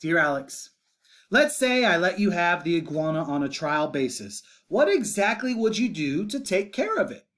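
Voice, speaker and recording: A skeptical, patient mother read out by a man from a storybook. The delivery is wry and measured, with lively rises and falls in pitch. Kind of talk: storybook read-aloud for children.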